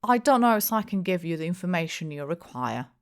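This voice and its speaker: A woman's voice: on the low side, very animated, fairly quick. A woman voicing a querulous, sententious old village man in an audiobook, with feeble, complaining rustic speech. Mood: irritated, sententious.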